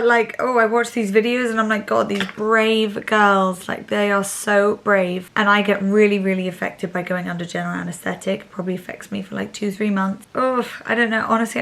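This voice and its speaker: Woman in her thirties, chatty q&a vlog, candid and rambly, kinda low voice from a strained throat. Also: gravelly, fairly bright tone. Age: 30s. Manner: chatty, candid, lots of likes and honestlys. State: voice still recovering, speaking low. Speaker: vlogger. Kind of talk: casual Q&A chat to camera.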